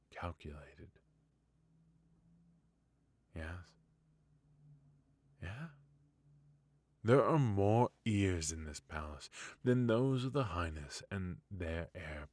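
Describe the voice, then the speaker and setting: Haughty, indulgent royal tutor for fantasy ASMR , played by a man: male, deep, unhurried, formal and quietly bitter. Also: slightly rough, no room sound, full character voice. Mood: calculated, resentful. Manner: formal, measured, wry, with musing hums and soft chuckles. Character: forgotten adult male royal mentor, resentful, haughty yet indulgent. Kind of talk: intimate ASMR fantasy roleplay.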